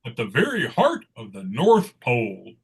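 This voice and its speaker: Guy doing a jolly old christmas gift-giver, husky voice, grand genial talk, very animated. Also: medium pitch, unhurried, voice pushed down, heavily acted. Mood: jovial. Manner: stately, genial.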